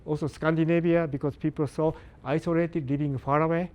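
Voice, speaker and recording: Older man, slightly high voice, halting broken english, lecturing a live audience. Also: fairly even intonation, a little mellow. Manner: halting non-native English with many pauses and restarts; engages the audience with questions. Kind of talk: live academic talk to an audience.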